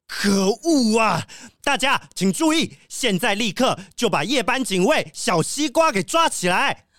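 Woman as a swooping, high, earnest wolfdog police chief. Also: very animated, measured pace, a bit bright, no echo, clean studio sound. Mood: frustrated, commanding. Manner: theatrical, scolding, emphatic. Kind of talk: children's audio drama acting.

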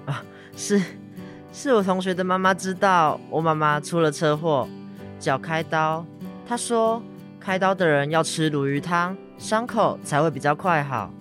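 A man's voice: fairly high pitch, a little slow, a bit bright, faint music behind. A teenage boy with a Taiwanese Mandarin accent acting a caring schoolboy son in a radio drama. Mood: grateful. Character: Ah-Zhong, caring schoolboy son, nagging his injured mother. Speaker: student voice actor.

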